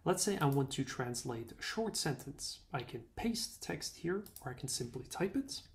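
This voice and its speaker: A young man, a native Czech speaker, giving a clear step-by-step software demo on a video call. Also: mid-range voice, animated, moderate pace, a little hiss or hum. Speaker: product demo presenter.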